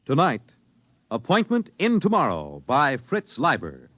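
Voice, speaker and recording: A man's voice: medium pitch, huge pitch swings, measured pace, dull audio, noisy background. A man announcing a series in dramatic 1950s radio style, over prominent music. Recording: old radio recording, loud music.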